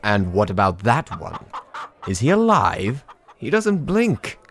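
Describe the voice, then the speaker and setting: A man playing a wary, sardonic, articulate fellow in an audio drama, deadpan and formal in his sarcasm. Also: on the low side, takes it slowly, gravelly, slight background noise, exaggerated voice. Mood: suspicious, baffled.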